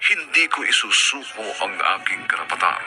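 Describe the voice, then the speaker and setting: A man's voice: on the low side, sing-song, moderate pace. A man with a Filipino accent announcing a radio drama in formal, theatrical scripted style. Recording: radio broadcast with loud music.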